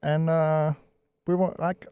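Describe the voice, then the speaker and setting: A man from Canada hosting a live podcast in casual chat, relaxed and playful. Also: on the high side, slow, smooth, dry recording. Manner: relaxed, playful, thanks viewers warmly. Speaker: podcast host.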